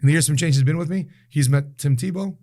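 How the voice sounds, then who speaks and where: A man in his late forties, a guest in a casual interview, telling stories with animated emphasis and repeated phrases. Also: on the high side, fast. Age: 40s.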